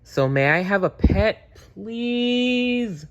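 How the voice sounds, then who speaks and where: A man's voice: sits high, lively intonation, very slow, smooth, muffled recording, voice pushed up, exaggerated voice. A man voicing an eager, polite young boy turtle from a storybook, earnest and pleading.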